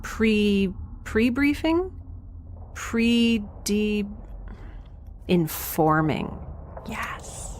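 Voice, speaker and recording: A woman's voice: mid-range voice, animated, very slow, pauses often, a little hiss or hum. A woman playing a wry, rambling young woman stranded in space, recording a hesitant, musing audio diary for an intimate audio drama. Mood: wry, flustered.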